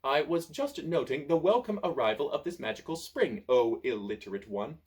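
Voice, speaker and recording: A man voicing a wordy, poetic male owl who sighs in high-flown phrases in a storybook. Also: expressive, moderate pace. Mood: dreamy.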